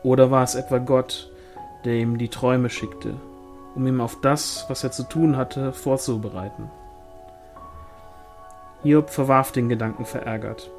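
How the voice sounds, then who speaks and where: A man's voice: mid-range voice, steady tone, unhurried, pauses often, darkish tone. Man narrating a novel over music. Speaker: audiobook reader. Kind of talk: scripted narration, reading a fantasy novel.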